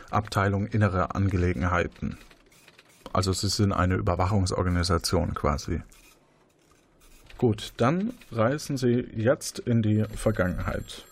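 A man narrating a tabletop mystery game as game master, hesitating often with fillers and restarts. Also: fairly low pitch.

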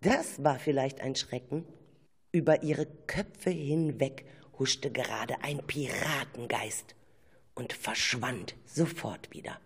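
A man's voice: medium pitch, slow pace, creaky, breathy edge. A man narrating a children's radio play in a dramatic, suspenseful way, with exclamations. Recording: music playing behind the voice. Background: music.

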